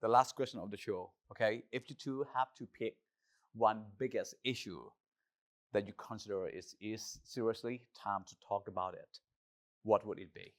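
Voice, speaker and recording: Casual: guy interviewing, brisk little "okay"s, lowish voice. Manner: brisk, with short acknowledgements. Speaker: interview host. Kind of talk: interview questions.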